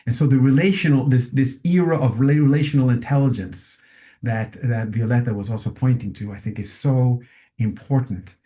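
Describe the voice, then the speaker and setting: A man speaks in a musing, philosophical way, with a voice of ordinary middle pitch. He halts often, fills gaps with "um" and "uh", and restarts his phrases as he thinks aloud. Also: mellow. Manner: halting, many restarts and fillers. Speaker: session speaker. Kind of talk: reflective talk at an online session.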